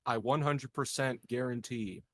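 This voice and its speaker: A man's voice: medium pitch, steady tone, a little slow. A man playing a tabletop roleplaying game, chatting in an informal, joking way. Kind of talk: casual chat.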